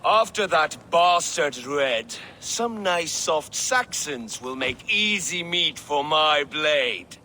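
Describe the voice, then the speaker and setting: A man's voice: a little high, expressive, takes it slowly, hardly any pauses, pushed and bright, a little hiss or hum, full character voice. A man playing a boisterous, crude, teasing Viking warrior in a video game, with a brash delivery. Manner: brash, mocking. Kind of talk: dramatic video game acting.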